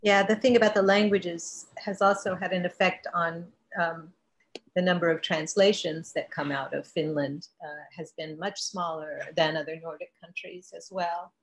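A woman's voice: on the low side, fairly even intonation, moderate pace, low-quality recording. A woman moderating a panel over a video call, speaking fluently and articulately. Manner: articulate, fluent, lightly emphatic. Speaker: moderator and translator.

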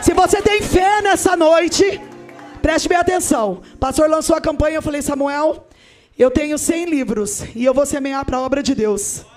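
A woman, giving an emphatic, often shouting testimony and offering appeal on a handheld mic in a large church hall. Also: high voice, normal speed, faint music behind. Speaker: preacher and author. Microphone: handheld microphone.

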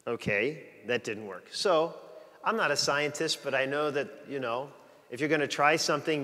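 A man's voice: mid-range voice, fairly bright tone. A middle-aged man preaching a conversational sermon as a priest, chatting and joking with his congregation. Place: large church, walking the aisle before the altar. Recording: long church reverb.

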